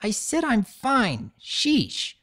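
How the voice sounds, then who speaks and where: A woman voicing a tough young tomboy filly who talks in a gruff, dismissive way. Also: fairly high pitch, wildly swooping pitch, very slow, fairly bright tone, pitched above the performer's own voice, exaggerated voice. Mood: defensive. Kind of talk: dramatic audiobook reading.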